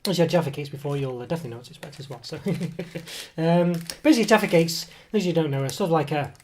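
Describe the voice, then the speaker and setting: A man's voice: on the high side, racing, slight background noise. A man with a cold reviewing a snack at an indoor table, talking in a rambling, hesitant way with many fillers and self-corrections, recorded on a table camera mic. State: has a cold, voice coming and going. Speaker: food reviewer. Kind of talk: casual product review.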